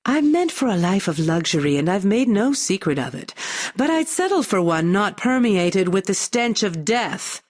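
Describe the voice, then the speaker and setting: Haughty, animated spoiled adventurer. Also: female voice, medium pitch, moderate pace. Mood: disdainful. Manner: disdainful, complaining. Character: spoiled, haughty luxury-loving female adventurer. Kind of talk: fantasy game voice acting.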